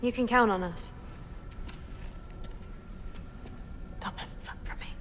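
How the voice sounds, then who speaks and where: A young actress playing a bold, eager, competitive warrior goddess in a video game, speaking with confidence and challenge. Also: female voice, a little high, fast, a bit bright, a little hiss or hum, lightly acted. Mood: eager, determined. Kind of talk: video game character acting.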